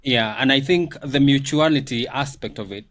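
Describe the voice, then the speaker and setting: A man with a mid-pitched voice talks through his views in a calm, measured way. He shares reflections on a recent discussion and pauses with casual fillers. The exchange is relaxed and conversational. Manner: measured, thoughtful, fillers like "you know". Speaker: guest commentator.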